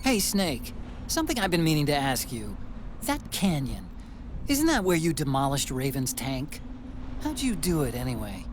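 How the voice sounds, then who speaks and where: An animated, nerdy tech sidekick. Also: male voice, on the high side, moderate pace, background noise. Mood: curious. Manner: chatty, animated, teasing. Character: earnest, excitable nerdy male tech sidekick. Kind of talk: video game cutscene acting.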